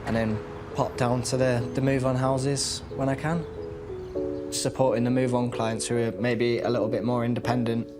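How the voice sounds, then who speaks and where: A man's voice: mid-range voice, little pitch movement, normal speed. Man with music behind him. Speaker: homelessness support assistant. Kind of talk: informal interview.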